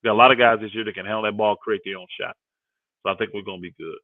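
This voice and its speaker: A man's voice: on the low side, steady tone, rapid-fire, no room sound. A man of fifty in casual, emphatic sports talk on a livestream, often repeating phrases to drive a point home. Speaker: guest co-host and sports commentator on a live panel. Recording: thin phone-like audio.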